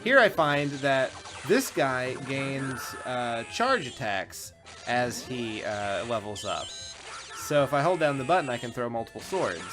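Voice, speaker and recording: A man with a mid-pitched voice talks casually through a video game as he plays it. His delivery is informal and peppered with swearing, with game music playing faintly behind him. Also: very animated, unhurried. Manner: informal, profane. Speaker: video game show co-host and player. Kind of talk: casual gameplay commentary.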